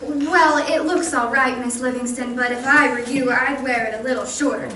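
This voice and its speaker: A polite, naive maid with blunt remarks and a high voice, acted by a woman. She plays it as old-time radio comedy, distant from the mic in a roomy space. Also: steady tone, normal speed, sharp tone. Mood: deferential. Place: live stage performance of a radio show. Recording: roomy stage, distant mic, faint noise.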